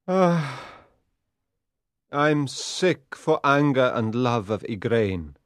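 A man's voice: mid-range voice, drawn-out words, clean tone, no room sound, studio quality. An eager lovesick king. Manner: eager, excitable. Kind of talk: storybook reading.

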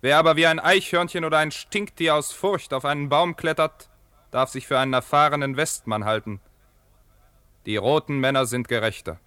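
Clear, ringing young warrior. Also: male voice, a little high, moderate pace, bright, forceful tone, dry recording. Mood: scornful, proud. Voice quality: clear, resonant. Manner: formal, declamatory, proud. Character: proud young Apache chief's son, keen and righteous. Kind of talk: German radio drama acting.

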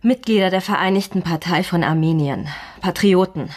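Noir radio play: woman acting a determined, serious client, husky and lowish, speaking in short grave phrases. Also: normal speed, natural voice. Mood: grave, urgent. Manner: terse, serious.

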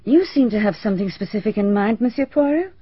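An actress playing a composed, well-spoken village hostess who answers briskly and a little defensively in a radio drama. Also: female voice, mid-range voice, animated, husky, dry recording. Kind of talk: BBC radio drama acting. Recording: dull archival radio recording.

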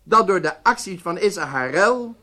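A male actor playing a stern head of state in a radio drama, speaking formally and deliberately with emphatic phrasing. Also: high voice, animated, bright, forceful tone, heavily acted. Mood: firm, formal.

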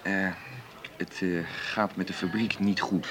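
A man's voice: sits low, steady tone, measured pace, a bit bright. An actor in a radio play as a stiff, resentful family businessman in need of money, hesitant and defensive. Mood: uneasy.

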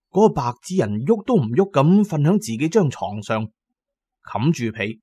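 A man's voice: mid-range voice, slightly rough, dry recording. Cantonese male storyteller, very dry sound. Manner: expressive, colloquial storytelling. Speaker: storyteller, narrator. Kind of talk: dramatic scripted audiobook narration.

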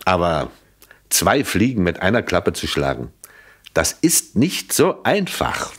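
A shrewd, doubting police inspector in a German radio play. His voice sits fairly low and moves expressively up and down, polite and measured with a dry, ironic edge. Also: huge pitch swings, a bit bright, breathy edge, full character voice. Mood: skeptical probing.

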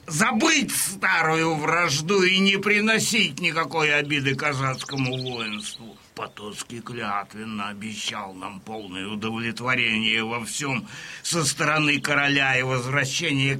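A man acting a proud wounded Cossack veteran who tells war news in a declamatory, deliberate way, in an audio drama. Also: medium pitch, sharp tone.

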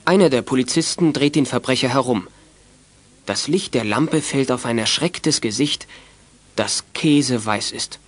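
A man's voice: mid-range voice, measured pace. A man narrating a youth radio drama as a scripted, dramatic story.

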